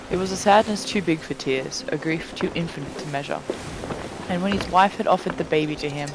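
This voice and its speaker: A man reading a story aloud dramatically on a live voice chat, breaking off to swear and chat casually with friends. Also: a little high. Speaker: fan fiction reader on a live stream. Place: live-streamed group voice chat. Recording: noisy voice chat, steady background noise.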